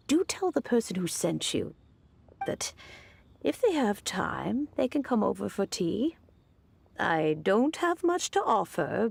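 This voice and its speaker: A woman voicing a kindly, wise old granny in a video game, with measured, old-fashioned phrasing and a creaky elderly voice. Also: fairly low pitch, darkish tone, a little hiss or hum, full character voice. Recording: game audio with faint music behind.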